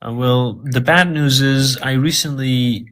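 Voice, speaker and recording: A man's voice: mid-range voice, fairly even intonation, a little slow, fairly bright tone. A man whose first language is Farsi, answering interview questions in English in a hesitant, conversational way with frequent fillers and repeated words.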